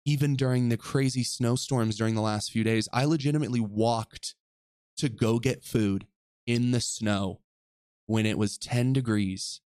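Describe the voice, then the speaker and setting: A man's voice: mid-range voice, fairly even intonation, unhurried, a little mellow, clear, resonant tone, no room sound, studio quality. A young adult man hosting a solo podcast, talking earnestly and rambling, often correcting himself mid-sentence. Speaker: podcast host. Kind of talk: reflective solo podcast monologue. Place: lives in the western United States.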